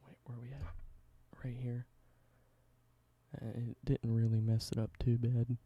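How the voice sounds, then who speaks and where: A young man doing a soft, halting ASMR whisper, slowly explaining everyday objects in simple words. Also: medium pitch, level tone, narrow, tinny audio. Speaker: ASMR creator.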